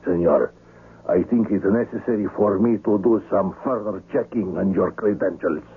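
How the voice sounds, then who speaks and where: Husky, courteous underworld host. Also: male voice, deep voice, full character voice. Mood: suave, probing. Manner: formal, courteous, measured speech. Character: suave, wary, courteous older male underworld host named Messina. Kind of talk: 1970s radio drama acting. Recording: muffled, tinny vintage radio recording.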